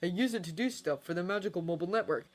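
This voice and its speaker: A woman's voice: on the low side, very fast, lightly acted. A woman voicing a teenage boy wizard who talks urgently and earnestly.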